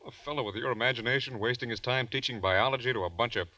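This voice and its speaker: An actor playing an incredulous, bantering friend with emphatic disbelief, in 1940s radio drama style. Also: male voice, medium pitch, fast, bright, forceful tone, dry recording, dull audio, lightly acted.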